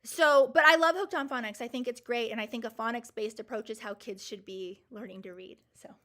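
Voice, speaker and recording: A woman's voice: on the high side, a little fast, a bit bright. A woman in her forties hosting a live Q&A, answering viewer comments in an enthusiastic, emphatic and reassuring way. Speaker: host.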